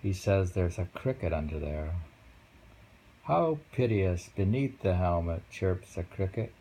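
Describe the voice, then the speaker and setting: Slow, deep-voiced man musing over poetry. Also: expressive, warm tone. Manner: unhurried, musing, pauses over lines. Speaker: poetry reader and host. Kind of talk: casual poetry reading and chat.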